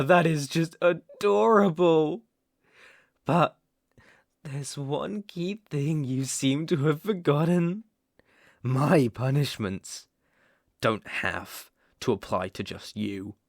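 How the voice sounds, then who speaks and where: A British man playing an obsessive, menacing young boyfriend in an intimate ASMR roleplay, coaxing softly with slow, deliberate emphasis. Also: a little high, vocal fry, no room sound, studio quality, full character voice. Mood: cold, threatening. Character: obsessive, possessive, menacing young boyfriend holding partner captive.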